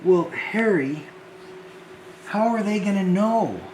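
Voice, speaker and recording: A man with a fairly high voice speaks slowly and gently to young viewers, stumbling and repeating his words as he goes. The room is echoey, with a faint hum of ambience behind him. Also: animated. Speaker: children's show host. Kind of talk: talking to children on a homemade show.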